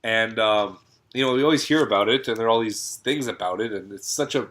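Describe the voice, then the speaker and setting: A man's voice: fairly low pitch, fairly quick, a bit bright. A young man co-hosting a casual podcast, sounding congested and nasal from a cold, with dry, sarcastic remarks. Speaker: podcast co-host. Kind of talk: casual chat.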